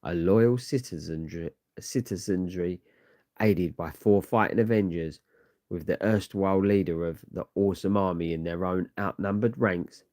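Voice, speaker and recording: Deep-voiced man narrating a comic book. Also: moderate pace, a little mellow, dry recording, clean studio sound. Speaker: comic read-along narrator.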